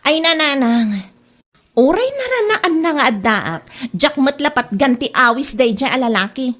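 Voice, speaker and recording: A woman's voice: sits high, very animated, moderate pace, fairly bright tone, exaggerated voice. A woman playing a grumbling, fussy young daughter in an Ilocano comedy drama. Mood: exasperated.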